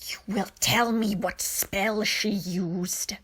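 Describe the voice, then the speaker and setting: A woman puts on a menacing alien witch for an audio story. She barks commands and threats in a husky voice that drops into hisses and rises into loud snarls. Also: mid-range voice, steady tone, slow pace. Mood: cold, suspicious. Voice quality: hissing, snarling. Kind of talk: dramatized fan fiction reading.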